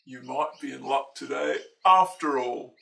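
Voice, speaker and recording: A woman's voice: very deep voice, unhurried, creaky, fairly bright tone, distant mic, pitched below the performer's own voice, heavily acted. A woman voicing a jovial, friendly, big-bellied male butcher in a storybook, with a hearty, teasing delivery. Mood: jovial, generous.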